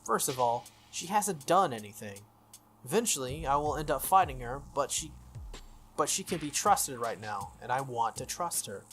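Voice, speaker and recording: A video game player reading text aloud and commenting casually, with a slightly halting delivery and stumbles. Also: medium pitch, sing-song, a bit bright. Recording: music behind the voice. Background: background game music.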